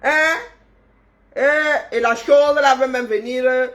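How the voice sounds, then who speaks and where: Woman, unhurried drawling delivery, sarcastic and scolding, livestream commentary, phone or webcam mic. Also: high-pitched, steady tone, a bit bright. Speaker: online commentator. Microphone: webcam or phone on a screen.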